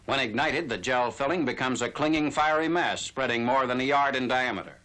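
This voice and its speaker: A man's voice: medium pitch, a little fast, pushed and bright. A man narrating a 1940s military newsreel in a formal, factual announcer style. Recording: noisy vintage 1940s newsreel soundtrack.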